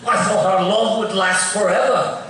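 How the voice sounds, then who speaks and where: A man's voice: high-pitched, fairly even intonation, a crowd in the background, lightly acted. A man voicing a doddering, bitter, very old cartoon professor with a quavering, cracked voice and melodramatic delivery. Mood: wistful. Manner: melodramatic, drawn-out. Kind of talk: live table read of a cartoon. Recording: echoey hall, distant mic, faint noise.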